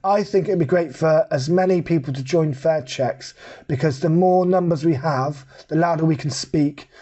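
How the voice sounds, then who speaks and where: Man, middle-aged, slightly higher voice, plain-spoken, conversational, candid personal storytelling. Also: moderate pace, mellow, highs cut off. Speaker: charity ambassador telling personal story. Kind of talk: candid personal testimony to camera.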